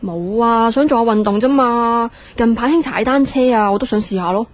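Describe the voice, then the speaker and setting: A woman's voice: fairly high pitch, normal speed, natural voice. A woman with a Hong Kong Cantonese accent playing a casual, coaxing girlfriend in natural radio drama dialogue. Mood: breezy, coaxing. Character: young man's casual, breezy, coaxing girlfriend.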